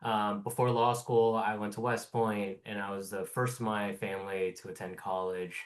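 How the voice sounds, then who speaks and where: Man, 30s, law student panelist; low voice, direct and composed. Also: flat delivery, moderate pace. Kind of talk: panel self-introduction.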